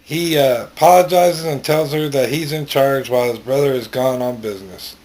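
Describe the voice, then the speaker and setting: A man narrating a story in an amateur read-aloud style, plainly, with pauses and stumbles. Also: medium pitch, a little mellow, rough recording.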